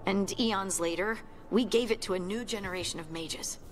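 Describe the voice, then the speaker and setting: A woman's voice: mid-range voice, normal speed, slight background noise, natural voice. A woman voicing an earnest, reflective young dwarf in a video game, with music behind her. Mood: solemn.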